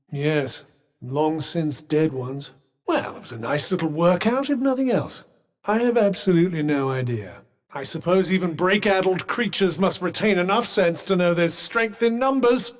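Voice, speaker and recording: An actor playing a haughty, well-spoken talking magic cuff in a video game, with a British accent and dry, sarcastic, formal delivery. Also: male voice, on the high side, close to an everyday voice. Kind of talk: scripted video game companion dialogue.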